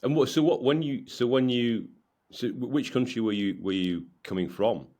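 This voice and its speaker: A man's voice: medium pitch, moderate pace, dark tone. A man hosting a podcast interview, from the UK, who repeats his words and restarts his questions often. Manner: stammering repeated starts. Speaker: podcast host.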